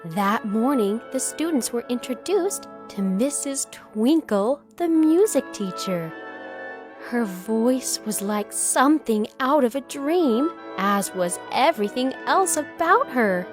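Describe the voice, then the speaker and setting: Slow, very animated woman reading a storybook. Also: a little high, over music. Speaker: storybook reader. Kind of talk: expressive children's read-aloud narration.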